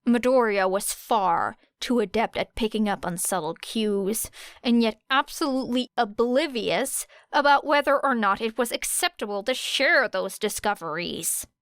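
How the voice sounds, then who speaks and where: A woman narrates an audiobook story from a script. Her voice is slightly high and her pace is slow, recorded dry and close. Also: no room sound.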